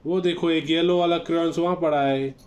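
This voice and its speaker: A man's voice: fairly high pitch, fairly even intonation, very fast. A man in his thirties with an Indian accent chatting casually with a small child, coaxing with short repeated questions and prompts. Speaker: father filming a kids' show with his child. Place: small living room at home. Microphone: phone camera at arm's length or further. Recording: home phone recording with background noise.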